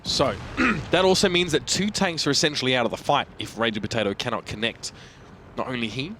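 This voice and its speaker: Male play-by-play caster, quick and animated, faint hum behind. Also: medium pitch. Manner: energetic, fast, swooping emphasis. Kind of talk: esports match commentary.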